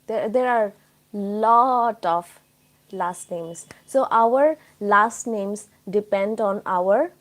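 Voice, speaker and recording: A woman's voice: medium pitch, drawn-out words. A woman from Tripura with an Indian accent, hosting a casual live chat and explaining things informally to her viewers. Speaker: live stream host.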